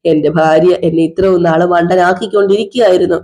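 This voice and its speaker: Drunk, sulking husband, played by a woman: slow sarcastic drawl, mellow dark voice. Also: fairly low pitch, fairly even intonation, natural voice. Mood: accusing. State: drunk (as acted). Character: drunk, bitter middle-aged husband, self-pitying. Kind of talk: one-person domestic drama skit.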